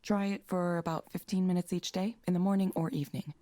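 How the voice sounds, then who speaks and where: A woman voicing a calm, courteous AI counselling program in a visual novel, in a measured, polite, scripted manner. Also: fairly low pitch, lightly acted.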